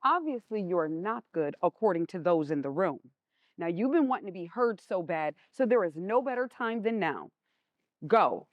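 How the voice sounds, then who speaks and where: A woman's voice: on the low side, very animated, moderate pace, dry recording, highs cut off. A woman playing a stern, proud mother in a stage play, with a commanding and pointed way of talking. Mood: stern, controlled. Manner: commanding, pointed, formally clipped.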